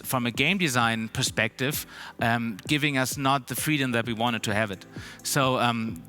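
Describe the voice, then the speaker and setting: A man's voice: medium pitch, bright, forceful tone. Chatty German-accented man on a stage. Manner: loose and chatty, many ums and restarts. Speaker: conference speaker. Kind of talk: conference talk. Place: large dark stage hall. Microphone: headset.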